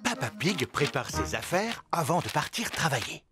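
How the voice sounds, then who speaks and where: A man's voice: on the high side, big pitch swings, moderate pace. A man narrating a cartoon in a storybook manner. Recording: loud music underneath.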